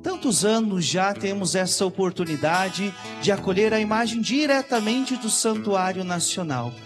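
A man's voice: high voice, normal speed, over music. A Brazilian man, a visiting priest, opening a Mass with formal liturgical phrasing and a short homily. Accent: Brazilian Portuguese.

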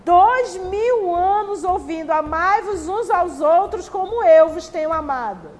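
A woman's voice: very high-pitched, lively intonation, measured pace, a little mellow. A woman with a Brazilian Portuguese accent giving a spiritist study talk, reading passages and answering her own rhetorical questions in a teacherly way. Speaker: spiritist lecturer. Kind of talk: religious study talk, reading and commenting on texts. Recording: long hall reverb, faint background noise.

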